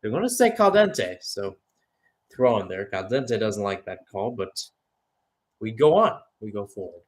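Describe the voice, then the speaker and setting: A man's voice: lowish voice, very animated, measured pace. A man giving live radio-style football play-by-play, mixing calls of the action with conversational, musing analysis. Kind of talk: live radio sports commentary.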